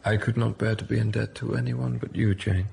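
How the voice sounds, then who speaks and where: Very gravelly, brusque manor master. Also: male voice, fairly low pitch, level tone, a little fast, a little mellow, slight background noise. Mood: tender, intense. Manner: commanding, abrupt. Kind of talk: period radio drama acting.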